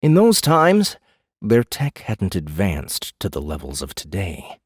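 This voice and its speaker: A weary fae captor from a fantasy audiobook drama. His speech is very slow and swings widely in pitch, with a husky, breathy grain. He sounds brooding and disdainful, recorded dry and close. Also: huge pitch swings, no room sound, exaggerated voice. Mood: wistful, detached. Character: weary, haughty male fae jailer in human guise.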